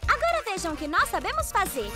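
A squeaky clever cartoon motorbike girl. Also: very animated, quick pace. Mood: upbeat. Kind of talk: Brazilian Portuguese cartoon dub. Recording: studio dub over loud music.

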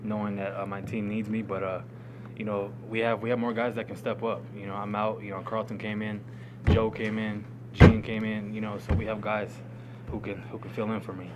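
A young man in his twenties speaks low and fairly evenly at a press conference, repeating words as he works through understated answers. A clearly noisy room sits behind his voice. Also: fairly quick, muffled recording. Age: 20s. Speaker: college basketball player. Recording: noisy room with steady hum.

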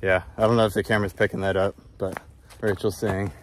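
A man's voice: deep voice, little pitch movement, fast, a little hiss or hum. A man in his thirties chatting casually and reflectively to a phone while walking outdoors. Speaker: travel vlogger. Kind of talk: casual walking vlog chat. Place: outdoors on a countryside footpath. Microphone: phone at arm's length.

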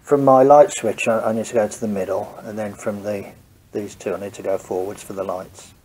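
A man's voice: on the low side, fairly even intonation, brisk, darkish tone, husky, rough recording. A man with a head cold, casually talking through his car wiring work in a home garage, hesitant and thinking aloud. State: congested from a cold. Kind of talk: casual workshop walkthrough.

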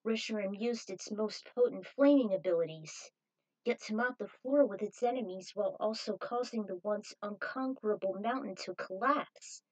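A woman's voice: medium pitch, normal speed, a little mellow, low-quality recording. A woman reading a scripted adventure story aloud as narrator, in a dramatic manner.

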